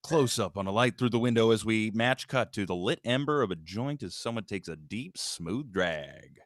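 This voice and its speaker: Man, fairly low voice, informal host and script narrator, close dry mic, streamed table read. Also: animated, normal speed, no room sound. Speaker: host, writer and stage-direction reader of a live table read. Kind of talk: casual introductions and scripted screenplay narration.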